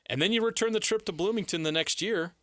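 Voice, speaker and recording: A man's voice: high-pitched, fast, a bit bright, dry recording, studio quality. An American man co-hosting a sports talk show, pressing his case insistently and repeating points for emphasis. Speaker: sports radio co-host. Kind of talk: sports talk, making a pitch to a co-host.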